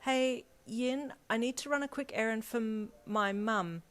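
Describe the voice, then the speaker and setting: A woman's voice: a little high, a little slow, a bit bright, voice pushed up. A shy, earnest young male hero , acted by a woman reading a story. He is flustered and stammering but sincere, with a voice lifted above the narrator's. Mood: nervous.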